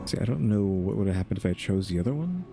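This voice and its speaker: A man's voice: lowish voice, very animated, fast, vocal fry, background noise. A man giving casual, chill commentary on a video game, rambling and musing aloud. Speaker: gaming video host.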